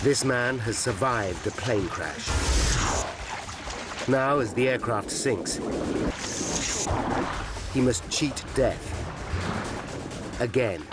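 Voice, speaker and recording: A man's voice: medium pitch, drawn-out words, long pauses. A British man reading scripted disaster documentary narration in a grave, suspenseful, dramatic manner. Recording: loud dramatic music underneath. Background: dramatic music and disaster sound effects.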